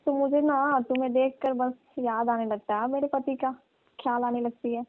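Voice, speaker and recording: A woman's voice: sits high, fairly even intonation, normal speed, lightly acted. A woman playing a flirtatious, pushy village aunt who coaxes and teases a younger repairman in a comic phone skit. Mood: flirtatious, coaxing. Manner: coaxing, teasing, colloquial. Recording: tinny, dry phone-call recording.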